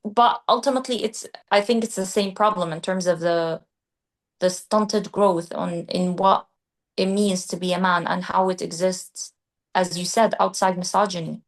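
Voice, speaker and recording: Podcast co-host, female, grew up in Qatar; thoughtful, measured delivery with mid-sentence restarts. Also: fairly low pitch, steady tone. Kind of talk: casual discussion.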